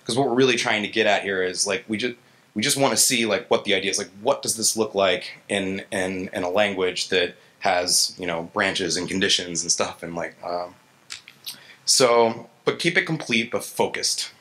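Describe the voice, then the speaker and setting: A man in his thirties gives a casual tech talk into a stage microphone. His voice sits fairly low but has a bright, forceful edge. He talks in a halting, conversational way, restarting often. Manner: halting, conversational, frequent "like" and "right?". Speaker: conference speaker, software engineer. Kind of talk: informal lightning talk, answering questions. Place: gallery event space, indoors, on stage. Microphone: handheld-style vocal mic on a stand.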